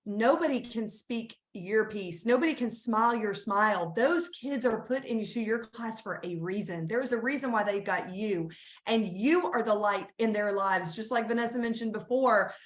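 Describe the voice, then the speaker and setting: A woman's voice: mid-range voice, normal speed. Earnest woman on an echoey video call. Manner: earnest, encouraging, with frequent restarts. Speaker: school staff presenter. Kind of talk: conference talk on a video call.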